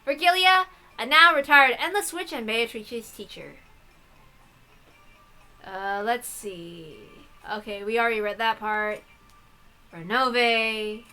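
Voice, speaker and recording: Bright, high-pitched woman reading game text. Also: lively intonation, slow, long pauses, clean tone, faint music behind. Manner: playful, giggly, swearing asides. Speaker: host of a game read-aloud playthrough. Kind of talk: reading game text aloud with casual commentary.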